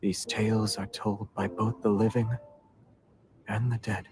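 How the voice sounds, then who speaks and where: An actor playing a gentle, soft-spoken knight in a romantic game, speaking calmly and tenderly. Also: male voice, lowish voice, background noise, lightly acted. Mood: solemn, reflective. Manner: calm, tender, measured. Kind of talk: English game voice acting, romantic. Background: music.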